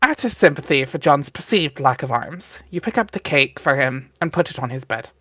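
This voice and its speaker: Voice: medium pitch, lively intonation, moderate pace, a bit bright, no echo. A streamer hosting a dramatic reading, switching between narrating aloud and casual chat. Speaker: stream host and reader.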